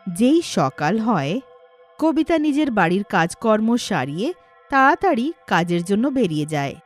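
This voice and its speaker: A woman working as a story narrator, reading a scripted moral tale. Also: mid-range voice.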